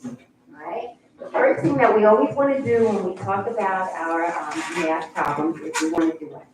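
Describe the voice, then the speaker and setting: A woman's voice: on the low side, normal speed. A woman kindergarten teacher patiently coaxing young students through a classroom demonstration at a school board meeting. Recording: echoey room, distant mic, background noise.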